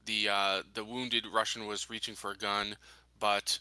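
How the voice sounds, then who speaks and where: A man playing a stern, suspicious colony official who questions in a probing, deliberate way. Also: fairly low pitch, little pitch movement, pushed and bright, clean tone, no echo.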